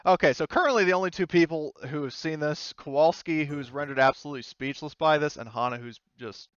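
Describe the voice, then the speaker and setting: A man's voice: fairly high pitch, brisk, fairly bright tone, clear, resonant tone, dry recording, lo-fi sound. A man acting as game master, narrating a tabletop roleplaying game in a casual, chatty style.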